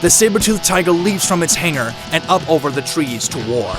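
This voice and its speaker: Male narrator for action audio drama, fairly high register, scripted storytelling under a loud score. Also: animated, a little fast. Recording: loud music bed. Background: loud music.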